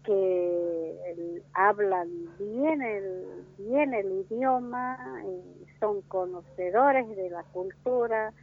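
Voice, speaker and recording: A woman whose first language is Wichí, from northern Argentina, answering interview questions slowly, with many restarts and fillers. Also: medium pitch, slight background noise. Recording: tinny phone line.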